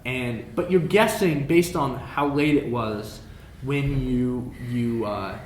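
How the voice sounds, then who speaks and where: A man narrating a tabletop fantasy game as game master, improvising in a halting way and repeating phrases. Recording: roomy echo, faint background noise, rumble.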